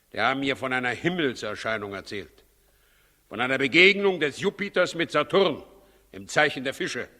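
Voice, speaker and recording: A very sharp, forceful voice in a long-reverberating hall: an imperious tyrant king in a 1960s radio drama. He commands and questions with impatient sarcasm and suspicion, always ready to threaten. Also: medium pitch. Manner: commanding, sarcastic, menacing interrogation. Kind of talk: 1960s German radio play acting.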